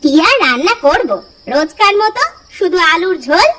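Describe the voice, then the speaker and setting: A woman voicing a sharp-tongued young village wife who scolds and mocks her husband. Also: extremely high pitch, animated, normal speed, heavy background noise. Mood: scolding. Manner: scolding, sarcastic. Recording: cartoon music under the voice.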